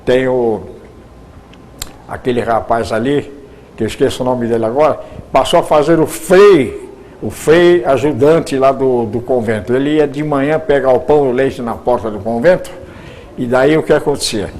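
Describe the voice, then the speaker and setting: Older man reminiscing, halting restarts. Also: mid-range voice, a little mellow, slight background noise. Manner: halting, many repeated words and restarts. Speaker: retired radio producer. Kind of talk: reminiscing in an interview.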